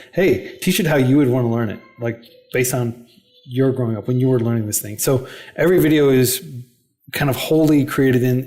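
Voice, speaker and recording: A man's voice: medium pitch, darkish tone. Chatty man explaining in an interview. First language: English. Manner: chatty, explanatory, lots of "like" and "you know" fillers. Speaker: interview guest, head of a coding non-profit. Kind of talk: casual podcast interview.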